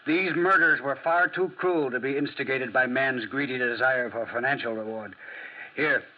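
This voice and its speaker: A man playing a clever, self-assured French detective in a 1940s radio drama, with a theatrical, drily ironic, deliberate delivery. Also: mid-range voice, close to an everyday voice. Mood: self-assured, emphatic. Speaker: actor playing detective and narrator. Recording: muffled 1940s radio recording.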